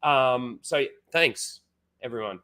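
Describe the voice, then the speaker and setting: A man's voice: medium pitch, slow pace, bright, forceful tone. A man with a head cold and blocked nose, rambling casually to his chat as a livestream host, full of "like" and restarts.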